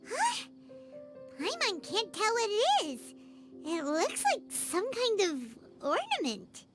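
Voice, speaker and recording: A woman voicing a tiny, excitable, cheeky fairy companion in a video game, with a squeaky childlike voice. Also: takes it slowly, full character voice. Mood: puzzled, amazed. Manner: bubbly, exclamatory, refers to herself by name. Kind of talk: English video game voice acting. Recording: studio voice under game music. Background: game background music.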